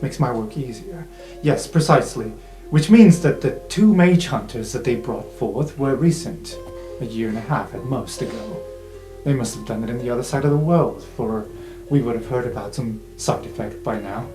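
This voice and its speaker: A man voicing a knowing, wry informant in an improvised fantasy roleplay podcast, explaining in measured phrases with theatrical pauses. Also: medium pitch, warm tone, lightly acted. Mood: explanatory, wry. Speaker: game master. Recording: roomy sound with guitar music underneath.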